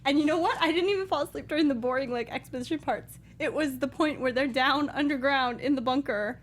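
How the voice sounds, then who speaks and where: A woman's voice: sits high, fairly quick, slight background noise. A woman co-hosting a film review panel, chatting in casual banter.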